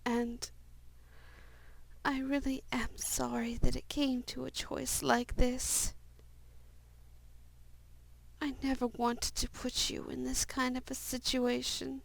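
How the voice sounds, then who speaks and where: A woman performs a loving Edwardian mother in a period audio roleplay. Her voice is fairly high, with a heavy creak, and she speaks slowly in hushed, apologetic tenderness. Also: flat delivery, exaggerated voice, low-quality recording. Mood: tender, sorrowful. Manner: tender, hushed, slow endearments.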